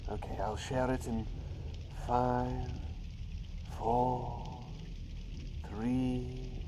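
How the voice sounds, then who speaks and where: A man voicing an eccentric, playful doctor turned talking bird, in soft-spoken ASMR roleplay with whispers and whimsical, unhurried phrasing. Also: mid-range voice, completely flat delivery. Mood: playful, teasing. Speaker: riddle-teller. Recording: clear background noise with outdoor ambience.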